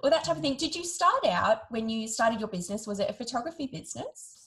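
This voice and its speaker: A woman's voice: on the high side, lively intonation, fast, fairly bright tone, slightly rough. A woman hosting a podcast, reading a polished intro and then interviewing a guest in an upbeat way. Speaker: podcast host.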